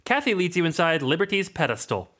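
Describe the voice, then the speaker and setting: A man co-hosting a comedy podcast, reading an adventure book aloud in a dry, deadpan way and riffing on it. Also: fairly high pitch, fast talker.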